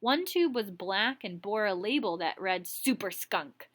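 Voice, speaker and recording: A woman with a bright, forward voice reads a story aloud as a teacher would. Her narration is expressive at a middle pitch, and she slips into casual asides to explain things. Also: a little slow. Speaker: teacher reading aloud to students.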